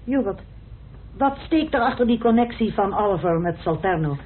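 A woman's voice: mid-range voice, animated, moderate pace, husky. An actress playing a concerned, questioning woman in a radio play. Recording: muffled old radio recording with hiss.

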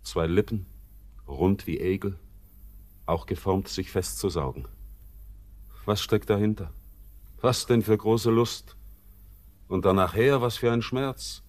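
Brooding, low-voiced seaman, slow and weighty. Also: darkish tone. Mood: bitter, searching. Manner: theatrical, weighty verse declamation. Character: brooding, melancholy seaman, bitter and world-weary. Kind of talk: classic German radio drama acting.

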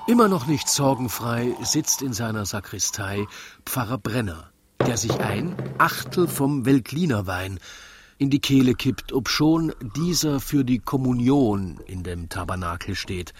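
A man's voice: mid-range voice, animated, a little slow, noisy background. A man narrating a scripted radio drama in rhymed verse.

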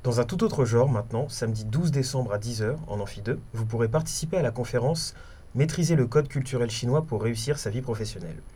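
A man co-hosts a radio show, reading prepared announcements aloud. His voice is dark and relaxed, with a husky edge at a medium pitch. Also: fast. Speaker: radio co-host.

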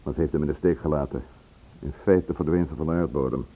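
A man's voice: very deep voice, fast, dark tone, breathy edge, full character voice, poor audio. An actor playing a troubled, insistent man in a radio play, questioning with emphasis. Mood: agitated, puzzled. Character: troubled, insistent man questioned about his murdered brother.

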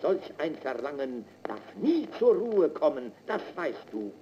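Stern propaganda officer, declamatory and measured, mellow tone, crackly vintage gramophone sound. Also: male voice, a little high, sing-song. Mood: stern, exhorting. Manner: declamatory, solemn. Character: stern male officer orating obedience on a 1936 recruitment record. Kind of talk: 1930s propaganda speech. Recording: muffled, noisy old gramophone record.

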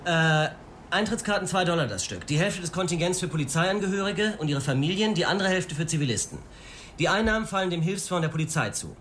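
Scheming senior police official, radio drama: pompous, persuasive, very quick, faint background noise. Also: male voice, a little high, fairly bright tone. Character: brisk, scheming senior police official, a man, selling a plan. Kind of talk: German radio play dialogue.

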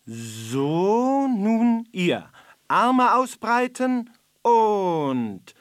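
An actress playing a small, excitable, courteous beetle in a children's radio play, flustered and exclamatory. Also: female voice, medium pitch, very slow, clean tone, no echo, studio quality. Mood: chanting, instructing. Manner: flustered, stammering at times, exclamatory. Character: excitable, courteous little cockchafer with a missing leg, fiddler.

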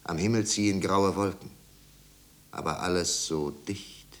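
A man narrating a 1950s German radio drama as a solemn storyteller, with grave, measured and deliberate phrasing. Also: sits low.

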